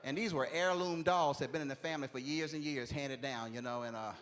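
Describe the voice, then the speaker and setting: A man's voice: medium pitch, steady tone, fast, fairly bright tone. A man, telling conversational stories and leaning on "you know what I'm saying.". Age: 40s. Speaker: stand-up comedian. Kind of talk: stand-up comedy routine.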